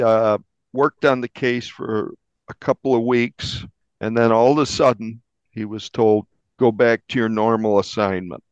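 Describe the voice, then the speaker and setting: An American man speaks in a fairly low voice, recounting his reporting in a conversational interview style. He sounds like a journalist being interviewed. The recording is dry and a little dull. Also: clear, resonant tone, dry recording. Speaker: investigative newspaper reporter, interviewee.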